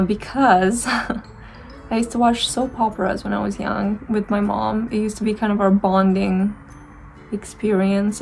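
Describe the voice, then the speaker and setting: A woman's voice: medium pitch, gravelly, low-quality recording. A woman in her twenties from Romania with a Romanian accent, chatting casually and reflectively to camera while doing her makeup, with many fillers and restarts. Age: 20s. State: dry throat. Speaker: beauty vlogger.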